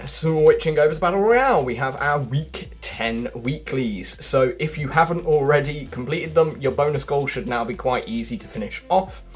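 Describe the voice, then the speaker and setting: A man's voice: mid-range voice, breathy edge. A man giving casual, explanatory gameplay commentary as the host of a gaming video, with chatty asides. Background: background music.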